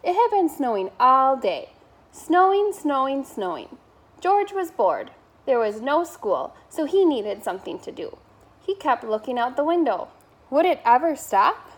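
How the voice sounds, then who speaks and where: A woman's voice: high-pitched, big pitch swings, measured pace. A woman reading a children's bedtime story aloud as a member of school staff.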